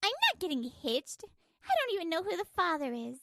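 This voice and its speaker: Manga dub role: brazen young sister voiced by a woman, squeaky-high pitch, animated, entitled and flippant. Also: brisk, vocal fry, no room sound, clean studio sound, exaggerated voice. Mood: dismissive. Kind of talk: scripted manga dub dialogue.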